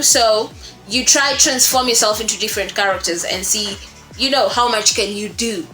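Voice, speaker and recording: A woman's voice: medium pitch, pushed and bright. A woman giving an informal tutorial as a voice-acting coach, speaking in an encouraging, emphatic way and often repeating herself for stress. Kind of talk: informal instructional talk to viewers. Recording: roomy echo with music behind. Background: music.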